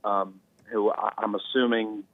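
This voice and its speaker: A man's voice: lowish voice, little pitch movement, measured pace, no echo. A young man calling in to a talk show by phone, speaking hesitantly with lots of fillers. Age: young adult. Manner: hesitant, many fillers and restarts. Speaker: caller. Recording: tinny phone line.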